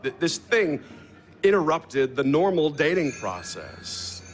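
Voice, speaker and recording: Dismissive businessman, slightly high male voice, curt, evasive, coolly formal, audio stage comedy-drama. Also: sing-song, slow, faint music behind. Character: curt, contemptuous businessman brushing off an unwanted date.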